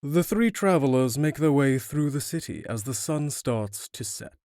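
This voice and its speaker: A man's voice: mid-range voice, expressive, normal speed, a little mellow, no echo, studio quality, natural voice. A man reading scripted narration for an audio drama.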